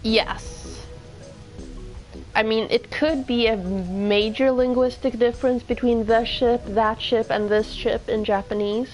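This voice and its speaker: A woman's voice: on the high side, steady tone, slow, a little mellow, soft background music. A woman whose first language is Swedish, casually chatting and thinking aloud while streaming a game. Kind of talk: casual let's-play commentary.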